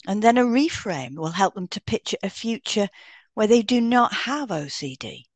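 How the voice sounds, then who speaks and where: A woman's voice: medium pitch, animated, normal speed, no room sound. Woman explaining in a dry, close recording. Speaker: podcast co-host, hypnotherapist. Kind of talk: scripted educational podcast read aloud in turns.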